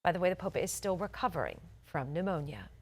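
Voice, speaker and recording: A woman's voice: on the low side, big pitch swings, fast. Woman news anchor, polished and emphatic. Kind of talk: scripted morning news broadcast.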